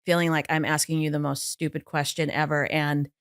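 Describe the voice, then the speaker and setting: A woman vocal coach, a guest on a podcast, telling stories in a lively, chatty way with plenty of fillers. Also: deep voice, fairly quick, clear, resonant tone, no echo, clean studio sound. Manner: animated, chatty storytelling with many fillers. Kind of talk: casual podcast interview.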